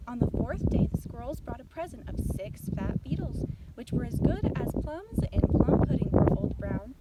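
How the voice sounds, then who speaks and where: A woman reading a children's bedtime story as an expressive narrator. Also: a little high, moderate pace, heavy background noise.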